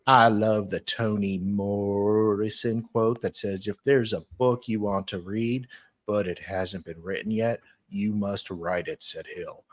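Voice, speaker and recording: Blunt panelist with a slightly husky voice. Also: low voice, no echo. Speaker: livestream panelist. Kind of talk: casual group chat with reading aloud.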